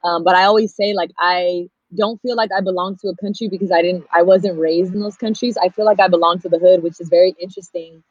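A woman's voice: fairly low pitch, steady tone, fast talker, hardly any pauses, dry recording, highs cut off. A woman, candidly and reflectively telling her own story in casual talk. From Pasadena, California, United States. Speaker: podcast guest, storyteller and activist.

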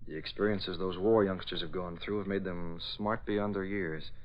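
An actor playing a calm, decisive newspaperman with a secret crimefighting life, in a measured, authoritative 1940s radio style. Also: sits low, close to an everyday voice. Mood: determined. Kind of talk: 1940s radio drama acting. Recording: dull old radio recording.

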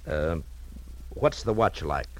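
Low-voiced hard-boiled private eye. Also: male voice, very animated, slow pace, full character voice. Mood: businesslike. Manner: wry, clipped, tough-talking. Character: hard-boiled male private detective, wry and tough. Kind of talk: classic radio noir acting and first-person narration. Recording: classic radio drama with music.

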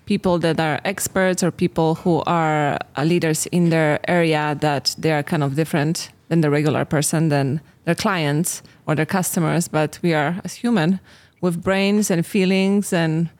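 A woman in her forties from Poland, speaking with a Polish accent in a low voice. She talks earnestly and reflectively, her phrasing slightly non-native, as a relationship coach in a relaxed podcast chat. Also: moderate pace, few pauses. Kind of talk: casual podcast conversation.

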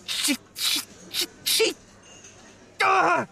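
An actor playing a naive, anxious young boyfriend in an audio drama, stammering and apologetic. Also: male voice, very high voice, very slow, vocal fry, bright, forceful tone, exaggerated voice.

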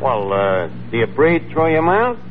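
An actor playing a wisecracking, pretentious lowbrow New York tavern manager with mock-refined diction in a 1940s radio comedy. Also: male voice, sing-song, measured pace. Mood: sardonic, breezy. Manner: sardonic, mock-refined, mangles grammar and fancy words. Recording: tinny, noisy 1940s radio recording.

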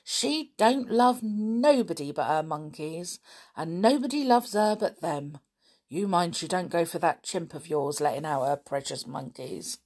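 A woman voicing a cross circus camp woman with a scolding, rough rustic way of talking, in a children's storybook. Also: fairly low pitch, expressive, measured pace, a little mellow. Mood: grudging warning.

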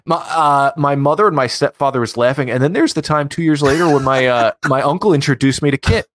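A man's voice: on the high side, fairly quick, slight background noise. A man co-hosting a podcast, telling casual anecdotes in a wry, self-deprecating way. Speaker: podcast co-host.

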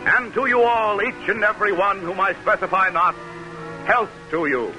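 High-pitched, solemn radio orator. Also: male voice, moderate pace, sharp tone, rough recording. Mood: exalted. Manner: declamatory, stately. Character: solemn, exalted orator reciting to a whole nation. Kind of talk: 1940s radio dramatic recitation. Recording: old radio broadcast with music.

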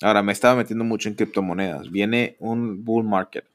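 A Mexican man in his thirties speaks alone into a podcast mic in a fairly low voice. He talks candidly and rambles. Now and then he pitches up to mock other people. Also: steady tone, a little fast. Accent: Mexican Spanish. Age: 30s. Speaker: podcast host. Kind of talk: solo podcast monologue.

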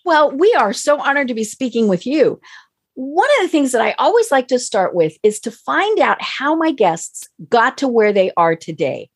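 A woman's voice: sits high, very animated, moderate pace, slightly rough. A woman hosting a business interview show, bubbly and gushing, with frequent fillers. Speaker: host.

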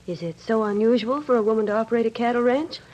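An actress playing a no-nonsense young ranch boss in an old Western radio drama, brisk and assertive. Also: female voice, fairly high pitch, a little mellow, muffled recording, close to an everyday voice. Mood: brisk, defiant. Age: young adult. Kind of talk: 1940s-1950s Western radio drama acting.

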